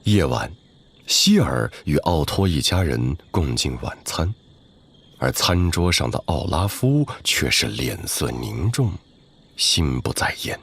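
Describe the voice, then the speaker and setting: A man narrates a story in a low register. His scripted delivery is dramatic, with lively swings in intonation. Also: slow pace, husky.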